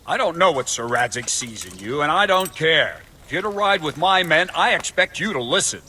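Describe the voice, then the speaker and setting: Stern, forceful medieval guard captain. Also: male voice, a little high, lively intonation, moderate pace, husky, full character voice. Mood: stern, disdainful. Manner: curt, commanding.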